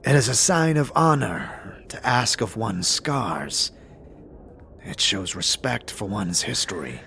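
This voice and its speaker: A man's voice: lowish voice, animated, slow, gravelly, bright, forceful tone, heavily acted. A man voicing a proud, scarred alien soldier who speaks in grave, formal, archaic phrases in a fantasy roleplay. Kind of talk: tabletop-style fantasy roleplay. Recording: faint background noise.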